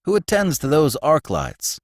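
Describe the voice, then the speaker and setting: A man voicing a precise, inquisitive male thinker who asks calm, crisp questions, as in an audiobook. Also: on the high side, a little slow, no room sound, clean studio sound, pitched above the performer's own voice. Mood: cool questioning. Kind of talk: audiobook character voice.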